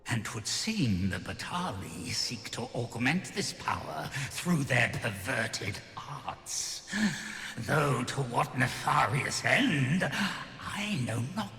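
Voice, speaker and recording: An ancient, withered king of a fantasy game, bitter and grandiose. His voice is gravelly and very slow, with archaic, theatrical phrasing that sinks into breathy whispers. It rings through a long cavernous reverb. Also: mid-range voice, big pitch swings, bright, forceful tone, exaggerated voice. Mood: scornful brooding. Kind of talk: fantasy video game acting.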